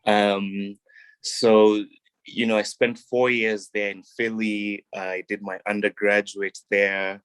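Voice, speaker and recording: A young man from Kenya, a guest on an interview show, talking in a relaxed, reflective way with frequent ums and restarts. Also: fairly low pitch, takes it slowly, smooth, dry recording, rough recording. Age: young adult. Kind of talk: casual interview talk.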